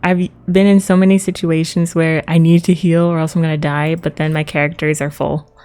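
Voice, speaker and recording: Mellow, lower-pitched woman chatting on stream. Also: little pitch movement, a little fast, clean tone. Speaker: game livestream host. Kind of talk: casual chat with live viewers while playing.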